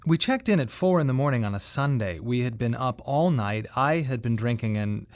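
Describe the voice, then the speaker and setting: A man's voice: mid-range voice, lively intonation, moderate pace, no room sound, clean studio sound, close to an everyday voice. An actor playing a weary, bereaved father who tells his story in a reflective, wry radio drama monologue. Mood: weary recollection.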